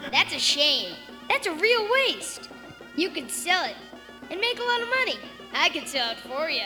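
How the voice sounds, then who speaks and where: A woman's voice: extremely high pitch, sing-song, moderate pace, music in the background. A woman voicing a brash, streetwise young urchin hustler with slangy, cocky patter in an audio comedy. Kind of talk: live audio comedy acting.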